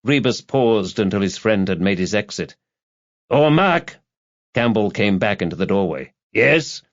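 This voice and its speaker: Low-voiced male audiobook narrator, slightly muffled. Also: brisk, dry recording. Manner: dramatised reading with character voices. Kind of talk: scripted narration of a detective thriller.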